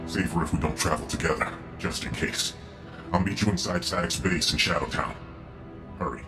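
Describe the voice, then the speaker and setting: An actor playing a tormented, fatalistic cyborg agent in a video game, with a strained, clipped delivery. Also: male voice, extremely low pitch, expressive, normal speed, music in the background. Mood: grim resolve. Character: tormented cyborg agent turned against his will, grim, fatalistic.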